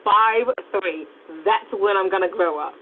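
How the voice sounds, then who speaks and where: A woman's voice: medium pitch, measured pace. A woman co-hosting a podcast in casual chat, playful and rambling in the way she talks. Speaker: podcast co-host. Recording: tinny phone-like radio sound.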